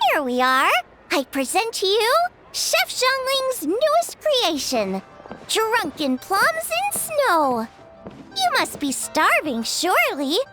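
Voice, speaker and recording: Young game chef girl, bubbly n teasing, bit bossy but caring, high voice w lots of swoops. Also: exaggerated voice. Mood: proud, inviting. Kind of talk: video game voice acting. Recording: music behind the voice. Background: music.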